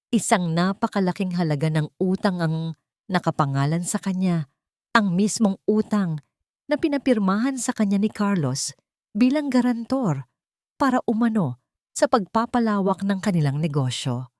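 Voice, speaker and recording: A woman narrating a scripted dramatic story in a measured, solemn, expressive manner. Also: lowish voice, dry recording, studio quality.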